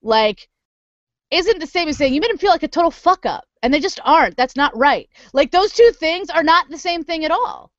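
A woman with a high, very lively voice co-hosts a casual podcast and speaks her mind bluntly. She swears freely. The recording is dry and a little dull. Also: normal speed, dry recording. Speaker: podcast co-host. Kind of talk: casual chat.

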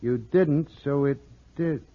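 An American actor playing an exasperated, slow-witted police sergeant in a 1940s radio drama, gruff and comic. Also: male voice, a little high. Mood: exasperated, baffled. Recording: dull lo-fi old radio recording.

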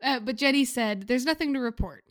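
Female podcast host, slightly high voice, casual comedic conversation. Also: brisk.

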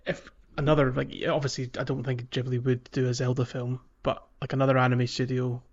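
A man chatting casually on a podcast, talking in a hesitant, halting way. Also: medium pitch, level tone, lo-fi sound. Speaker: podcast co-host.

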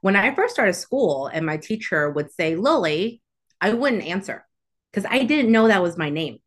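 A woman's voice: medium pitch, big pitch swings, normal speed. A young adult woman from Southwest Houston, a podcast guest, talking reflectively in casual chat with many "like" fillers; her first language was Chinese. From Southwest Houston, Texas.

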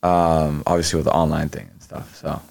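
A man's voice: very deep voice, flat delivery, creaky, a little mellow. A young man answering interview questions casually, with lots of fillers and restarts. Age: young adult. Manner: halting, many fillers and restarts. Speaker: guest, college athlete.

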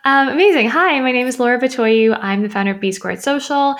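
A woman's voice: high voice, a little fast. A woman guest on a podcast, a business founder, talking in a chatty way with lots of "like". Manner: chatty, heavy use of "like". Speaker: social media business founder. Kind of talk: casual podcast interview.

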